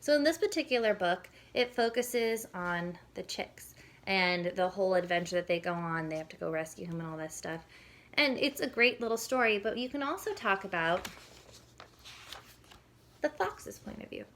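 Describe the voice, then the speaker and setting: Woman with creaky voice giving reading tips. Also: medium pitch, expressive, normal speed, fairly bright tone, a little hiss or hum. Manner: conversational, explanatory. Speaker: parenting and reading educator. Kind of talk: informal instructional talk with demonstrated questions.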